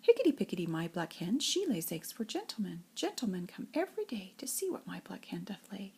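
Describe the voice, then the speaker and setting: An older woman with a breathy, husky voice reads nursery rhymes like a grandmother, in an expressive singsong storytelling way. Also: mid-range voice, brisk. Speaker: grandmother reading to children.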